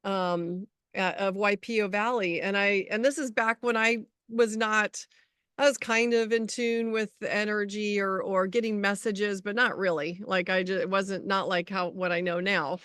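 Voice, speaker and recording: A woman's voice: medium pitch, fairly even intonation, normal speed, a bit bright, clean tone, no room sound, clean studio sound. A middle-aged woman co-hosting a spiritual talk show, telling stories in a casual, rambling way. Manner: rambling, anecdotal storytelling. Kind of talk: casual conversational chat.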